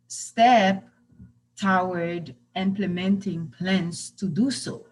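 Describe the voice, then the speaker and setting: A woman reads prepared remarks slowly and deliberately, giving each phrase its space. Her pitch sits in the middle of the range. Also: rough recording. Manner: measured, deliberate delivery.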